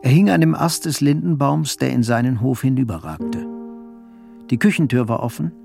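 A man's voice: medium pitch, animated, moderate pace, creaky, music in the background. A man narrating a literary crime story as the grave narrator of a radio drama. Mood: grave, measured.

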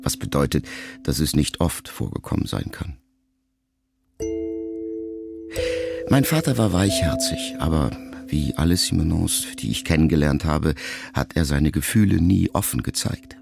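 Deep creaky-voiced man as a brooding grown son talking quietly reflective to his dying mother, radio play, music behind. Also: lively intonation, full character voice. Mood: reflective, searching. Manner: reflective, measured, questioning. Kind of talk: intimate first-person literary monologue in a radio drama.

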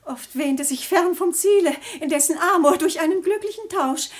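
An actress playing a flowery, sententious small-town lady in a radio comedy, affected and sentimental. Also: moderate pace. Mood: affected, insinuating. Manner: affected, sentimental, high-flown. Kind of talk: stage comedy radio acting.

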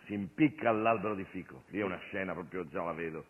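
A bossy comic stage Jesus, tinny sound. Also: male voice, sits low, fast, breathy edge. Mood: exasperated. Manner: insistent, comic, improvised back-and-forth. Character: bossy, exasperated stage Jesus directing his Judas to betray him. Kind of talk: live comic theatre dialogue. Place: theatre stage, live performance. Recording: tinny, noisy radio recording of stage show.

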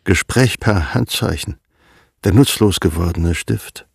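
Weary grave man in a radio play, deep husky voice, slow deliberate recital, very dry close sound. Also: no echo, heavily acted. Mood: bleak, brooding.

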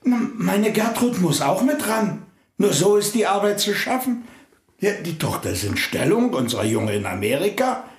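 An actor in a radio play playing a humble, weary middle-aged farmer who speaks in a hesitant, earnest, plain way. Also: male voice, high voice, big pitch swings, normal speed. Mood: humble, pleading. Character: humble, plain-spoken, weary married farmer pleading with his priest. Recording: echoing, reverberant room.

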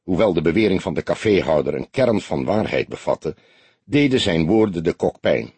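A man's voice: sits low, very animated, normal speed, darkish tone, slightly rough, dry recording. A man reading a story aloud as an audiobook narrator, in scripted narration.

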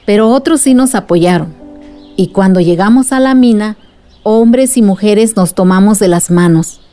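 A woman playing a patient villager telling a story in a radio drama. Her voice is mellow and gentle, with faint music behind. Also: mid-range voice, moderate pace, close to an everyday voice. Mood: patient, reassuring. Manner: gentle, explanatory. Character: patient woman villager recounting women's struggle to a child.

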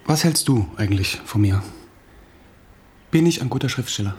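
A male actor playing an earnest, insecure young playwright in a German radio play, quick to defend himself. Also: mid-range voice, lively intonation, darkish tone, slight background noise, natural voice. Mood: wounded, doubting. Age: young adult.

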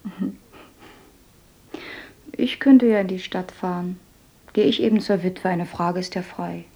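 A woman's voice: fairly low pitch, measured pace, gravelly, mellow, breathy edge, heavily acted. An actress playing a clever, devout young village woman in a radio drama, who talks directly and plainly. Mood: determined. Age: young adult. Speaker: miller's foster daughter in a radio play. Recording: slightly dull old radio recording.

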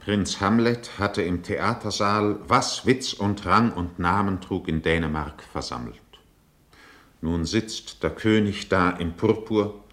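An actor as storytelling narrator of a radio drama, giving literary scene-setting narration. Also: male voice, low voice, slow, a bit bright. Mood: vivid, observing.

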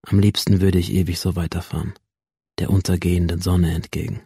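A sullen young school shooter in a modern radio play. His voice is low and mellow, recorded very dry. He is cynical and defiant, sliding between introspective narration and coarse, sardonic retorts. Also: level tone, fairly quick, gravelly, breathy edge, no echo, heavily acted. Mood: wistful. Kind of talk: modern radio drama acting.

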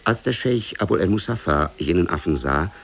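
Deep, creaky-voiced male storyteller. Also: expressive. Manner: measured, storytelling. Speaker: narrator. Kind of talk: scripted storybook narration, 1950s radio.

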